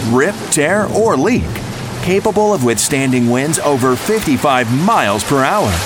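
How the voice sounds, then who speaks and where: A man reading a scripted commercial as a punchy sales pitch. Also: noisy background. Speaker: commercial announcer. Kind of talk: scripted advertisement read. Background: music bed.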